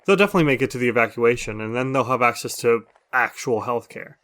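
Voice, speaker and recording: A man's voice: mid-range voice, lively intonation, fast, a bit bright. A man playing a chatty, upbeat survivor who runs a radio show in an audio drama, talking casually and teasingly. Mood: reassuring.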